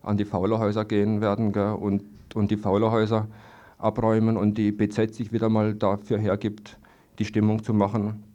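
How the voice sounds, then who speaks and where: A man answers hesitantly in a spontaneous radio interview, haltingly and often repeating words. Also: fairly low pitch, completely flat delivery, warm tone. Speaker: interviewed evicted trailer-camp resident. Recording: dull lo-fi archival radio recording.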